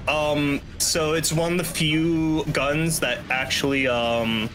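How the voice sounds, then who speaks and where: A young man doing casual live commentary as a speedrunner, in a halting way that repeats and restarts phrases. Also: on the high side, steady tone, noisy background. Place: indoor room at home, webcam stream. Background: video game music and vehicle-like game sounds.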